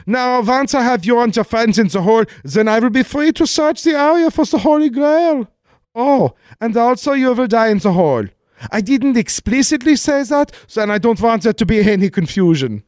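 An actor playing a fussy, scheming German villain in a comic 1940s-style radio play, with a German accent and theatrical, long-winded gloating. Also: male voice, very high-pitched, lively intonation, moderate pace, a little mellow, no room sound. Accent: put-on German. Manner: theatrical, hesitant, over-explaining gloating.

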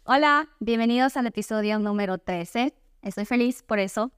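A woman's voice: on the high side, normal speed, loud music behind the voice. A woman with an Ecuadorian accent hosting a podcast in a conversational style. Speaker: podcast host.

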